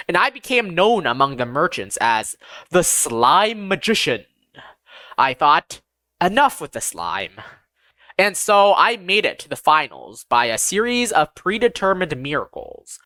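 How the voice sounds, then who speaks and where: An audiobook narrator reading a first-person story in a measured, deliberate way, with dramatic emphasis on key phrases. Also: medium pitch, sharp tone, no room sound.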